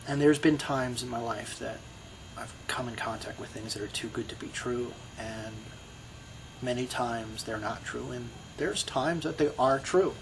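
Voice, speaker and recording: A man's voice: medium pitch, measured pace, gravelly, slight background noise, rough recording. A middle-aged man with a Brooklyn accent, talking in a rambling, reflective way to his video blog, full of you-knows and restarts. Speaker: video blogger. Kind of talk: casual personal monologue.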